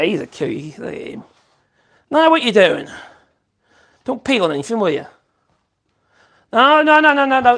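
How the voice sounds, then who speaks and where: A man's voice: high voice, fairly bright tone. A man, hosting a casual woodworking livestream. He rambles in a chatty way and coos to his puppy in a sing-song voice. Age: 50s.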